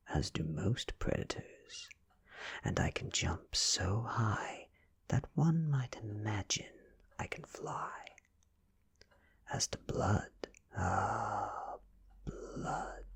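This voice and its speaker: A man with a British accent reads in a very breathy, husky whisper. His delivery is extremely unhurried and hushed, with deliberate pauses between short phrases, in the style of an ASMR bedtime story. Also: on the low side. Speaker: audiobook-style ASMR reader. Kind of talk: soft-spoken ASMR story reading.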